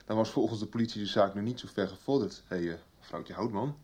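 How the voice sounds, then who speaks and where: A man's voice: fairly low pitch, fast talker, a little mellow, breathy edge. An actor playing a young policeman son, talking colloquially in a Dutch radio soap. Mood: matter-of-fact. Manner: colloquial, chatty. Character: young policeman son recounting his case to his mother.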